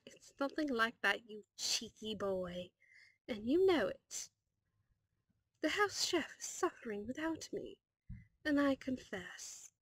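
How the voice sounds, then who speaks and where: A woman's voice: fairly high pitch, no echo, low-quality recording. A woman voicing a kindly, fussy older female servant who gushes and teases in an ASMR audio roleplay. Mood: teasing. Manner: gushing, motherly teasing, exclamatory.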